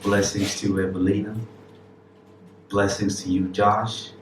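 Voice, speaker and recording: Cameroonian-born male poet with a low voice, rhythmic live delivery, recorded from afar with faint ambient noise. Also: flat delivery, measured pace. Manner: rhythmic, emphatic delivery with casual asides. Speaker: spoken word poet. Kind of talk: spoken word poetry performance. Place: live stage with audience. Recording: roomy, distant live recording.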